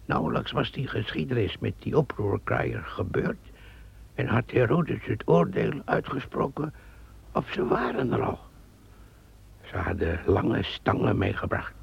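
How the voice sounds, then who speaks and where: An actor playing a brooding pond keeper who muses and stammers in a radio play. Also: male voice, fairly low pitch, lively intonation, unhurried, full character voice. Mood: musing. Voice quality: gravelly, raspy. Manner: musing, stammering. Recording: dull lo-fi recording.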